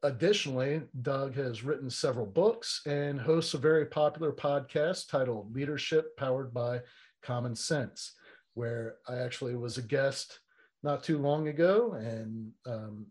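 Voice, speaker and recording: A man's voice: medium pitch, a little mellow. A man hosting a podcast interview, introducing his guest enthusiastically and casually. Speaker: podcast host.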